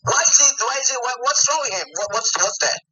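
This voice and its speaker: A man's voice: extremely high pitch, fast, bright, forceful tone, recorded from a distance, faint music behind. A man with a Nigerian accent on a phone call, coaxing and pleading, calling her honey and babe over and over. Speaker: romance scammer on a phone call. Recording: muffled phone-call audio.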